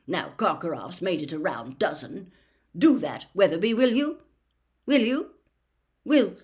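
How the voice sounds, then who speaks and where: A woman's voice: lowish voice, expressive, darkish tone. A woman voicing a mad older male wizard official in an audiobook, veering between officious dictation and desperate, broken gasps. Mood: officious, rambling. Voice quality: breathy gasping, broken halting delivery. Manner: swings from fluent officious dictation to halting frantic gasps.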